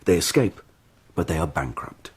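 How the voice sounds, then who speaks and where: Husky, scheming Roman kingmaker. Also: male voice, low-pitched, lively intonation, normal speed, full character voice. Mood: sardonic. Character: Orestes, scheming ambitious Roman father and kingmaker. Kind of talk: radio drama acting.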